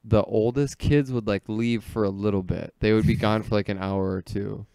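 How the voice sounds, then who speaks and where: A man with an American accent talks in a low, clear voice with very little room sound. He tells stories casually and jokingly, leaning on "like" as he goes. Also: steady tone, normal speed, dark tone, no room sound. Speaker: podcast speaker telling childhood stories. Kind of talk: casual comedic chat.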